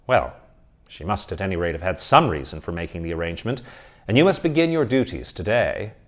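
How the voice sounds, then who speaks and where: A man's voice: deep voice, big pitch swings, a little fast. A man doing a stern grieving old colonel. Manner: forceful, emphatic declarations.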